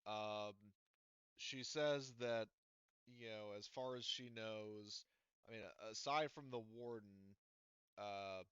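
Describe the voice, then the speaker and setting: A man narrating as game master of a tabletop roleplaying game, loose and rambling with many fillers and casual swearing. His voice is lowish, bright and smooth. The recording is dry and muffled. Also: slow, no echo.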